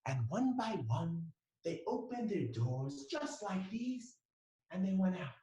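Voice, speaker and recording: Animated, high-voiced male storyteller. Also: slow, echoey room, poor audio. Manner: animated, jokey, swooping pitch. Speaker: storyteller for an online Hebrew school. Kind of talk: playful children's storytelling. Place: home in Toronto. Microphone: video call.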